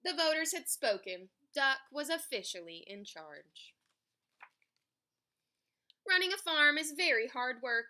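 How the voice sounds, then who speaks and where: A woman reading a story aloud for children in an expressive, emphatic way. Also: sits high, measured pace, pauses often. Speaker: picture book reader.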